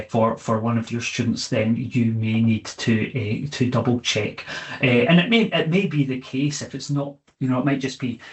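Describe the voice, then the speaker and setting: A man, a chemistry teacher presenting an online training session in a conversational way, often checking in with "okay?" and filling pauses with "eh". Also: medium pitch.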